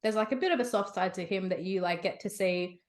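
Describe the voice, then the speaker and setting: A young woman author in her twenties chats in a bubbly, rambling way. She strings thoughts together with "like" and breaks into small laughs. Her voice sits at a middle pitch for a woman. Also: brisk. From half Romanian, half Sri Lankan heritage. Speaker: author guest. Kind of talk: casual interview chat.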